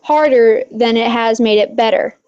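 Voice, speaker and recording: A woman's voice: on the high side, normal speed, darkish tone. A woman asking careful, polite questions in a casual group chat. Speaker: caller. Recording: dull lo-fi call audio.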